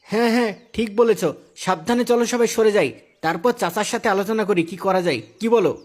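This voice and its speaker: A man's voice: high voice, quick pace, darkish tone, lightly acted. A man playing an anxious, pious village man in a moral cartoon story, given to worried exclamations.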